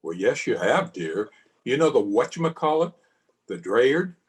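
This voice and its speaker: A man's voice: mid-range voice, big pitch swings, a little slow. A man playing a doting, reassuring husband in an old-time radio play. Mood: coaxing. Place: home, over a video call.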